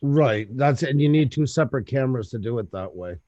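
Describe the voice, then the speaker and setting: A man's voice: mid-range voice, lively intonation, a little fast, mellow, dry recording. A man hosting a casual livestream chat, explaining tech setups step by step and laughing easily. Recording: dull lo-fi audio.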